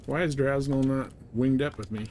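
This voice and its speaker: Male streamer, mid-pitched, relaxed slangy live game commentary, faint game noise behind him. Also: moderate pace. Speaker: livestreamer. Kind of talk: casual live gameplay commentary.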